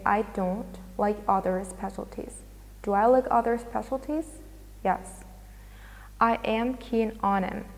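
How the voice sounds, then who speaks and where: A woman lecturer teaches an English grammar lesson at a medium pitch. She explains step by step and reads her examples aloud. The recording has a long reverb tail.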